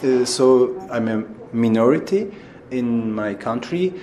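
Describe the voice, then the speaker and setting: Turkish-accented guy in english, theatre director, halting, lots of ums and restarts, mid pitch, faint noise behind. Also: expressive, mellow. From Turkey. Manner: halting, searching for words, many fillers and restarts. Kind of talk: interview answers.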